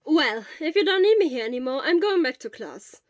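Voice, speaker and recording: A woman voicing an eager, loud teenage schoolboy in an audiobook-style story, talking in an excitable gush. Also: sits high, no room sound, clean studio sound, voice pushed up. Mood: brisk. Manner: gushing, excitable, rapid. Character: eager, loud, fast-gushing teenage boy student.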